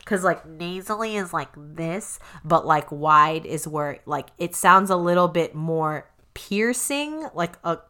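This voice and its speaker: A woman's voice: low-pitched, lively intonation, slow pace, hardly any pauses, sharp tone. A woman co-hosting a podcast who is a singer, explaining casually and rambling with lots of fillers.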